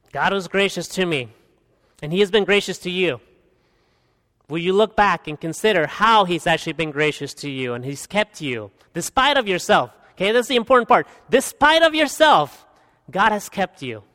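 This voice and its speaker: High-voiced earnest pastor in a reverberant hall. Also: male voice. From Guatemala. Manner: earnest, rising to emphatic peaks and dropping to quiet asides. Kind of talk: expository sermon. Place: church hall.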